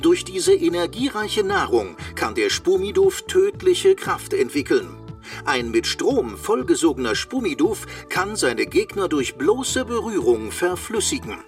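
A man's voice: on the high side, huge pitch swings, a little slow, recorded from a distance, soft background music, heavily acted. A man playing a chirpy automated hotline announcer with a salesman's zeal in a children's radio play, using sing-song patter. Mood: relishing. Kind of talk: parody phone menu in children's radio play.

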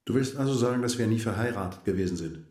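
A man's voice: lowish voice, expressive, quick pace, darkish tone, echoey room, natural voice. A man playing a composed, aloof husband in a German radio drama, curt and cool, holding back his hurt. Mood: guarded.

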